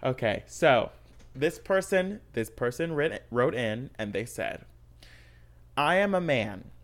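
A young woman co-hosting a casual podcast, with a very low voice that swings wildly up and down as she jokes. She swears freely and hams up her outrage for laughs. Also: very animated, takes it slowly. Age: 20s. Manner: brash, swears a lot, goes dramatic and squealy for laughs. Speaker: podcast co-host. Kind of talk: casual comedic chat.

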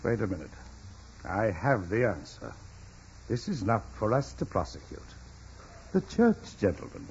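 Blustering, animated town mayor. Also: male voice, lowish voice, a little slow, vocal fry, pauses often, exaggerated voice. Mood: scheming, urging. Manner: blustering, exasperated. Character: flustered, indignant, evasive male town mayor. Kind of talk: 1940s radio acting. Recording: dull, noisy vintage radio.

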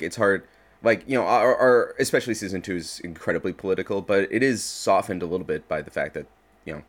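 A man with a very deep voice talks as a guest on a casual show. His delivery is informal and halting, as if thinking out loud. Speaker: podcast creator, interview guest. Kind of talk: casual chat.

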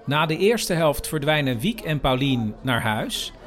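A man's voice: on the high side, very animated, measured pace, a bit bright. A man narrating an audio report as podcast host, in a wry, hesitant storytelling way. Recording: outdoor crowd and music behind. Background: football crowd, music.

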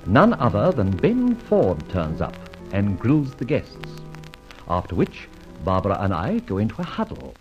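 An urbane gentleman adventurer in an old radio serial. His low voice moves animatedly up and down with wry self-assurance, on a dull old recording with faint music behind. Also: huge pitch swings, measured pace, heavily acted. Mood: wry storytelling. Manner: urbane, wry, clipped commands. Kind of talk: vintage radio drama acting.

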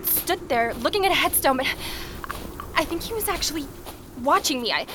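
A woman's voice: high-pitched, animated, brisk. An actress playing an anxious young woman investigator in an audio drama, speaking in a hesitant, naturalistic way. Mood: frightened, breathless. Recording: faint background noise.

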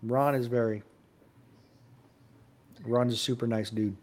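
A man's voice: medium pitch, steady tone, slow, darkish tone, a little hiss or hum. A man in his fifties with an American accent, chatting casually. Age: 50s. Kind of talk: casual chat.

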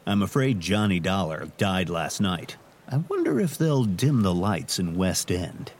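An actor playing a grandiose, sentimental radio host in an audio drama, with a pompous, melodramatic delivery. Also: male voice, sits low, very animated, measured pace, a little mellow, a little hiss or hum, heavily acted. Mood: wry, grandiose.

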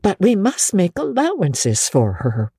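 A woman's voice: fairly low pitch, wildly swooping pitch, dark tone, slightly rough, no echo, studio quality, voice pushed up, heavily acted. A woman voicing a stern but fair guardian in a dramatic audiobook, speaking firmly and with restraint. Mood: measured reproach. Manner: firm, restrained, deliberate. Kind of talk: dramatic audiobook character acting.

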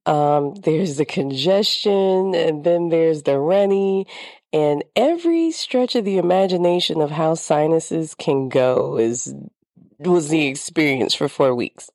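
A woman's voice: deep voice, animated, takes it slowly, darkish tone, no room sound, studio quality. A woman podcast host with a slightly congested, nasal voice, talking candidly in a reflective personal monologue.

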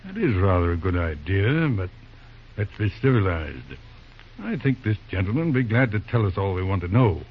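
An actor playing a calm, resourceful older uncle in an old-time radio drama, genteel and commanding. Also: male voice, deep voice, moderate pace. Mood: composed, wry. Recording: tinny, hissy old radio recording.

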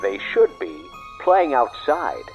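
Theatrical horror-drama announcer, animated delivery, faint music beneath. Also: male voice, mid-range voice, slow, dull audio, heavily acted. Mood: ominous, wry. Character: theatrical male announcer of a spooky 1920s horror tale. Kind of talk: dramatic trailer narration.

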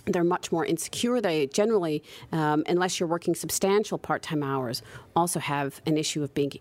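A woman's voice: low voice, moderate pace, darkish tone. A woman in her forties with an American accent, a researcher answering interview questions and often adding "right?" as she explains. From United States. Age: 40s. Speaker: guest researcher.

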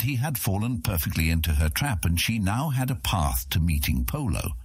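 A man's voice: lowish voice, husky, no room sound. A man narrating scripted fantasy fiction as an audiobook narrator.

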